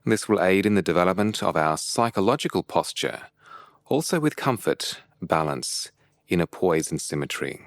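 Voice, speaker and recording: Meditation guide, male, lowish pitch, unhurried and measured delivery with deliberate pauses, dry recording. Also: big pitch swings, dry recording. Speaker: meditation teacher.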